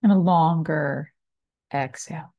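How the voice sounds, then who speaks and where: A woman meditation teacher guiding a body scan, speaking calmly and soothingly in short phrases with long pauses. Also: low voice, creaky, dry recording. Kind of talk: slow guided meditation, body scan narration.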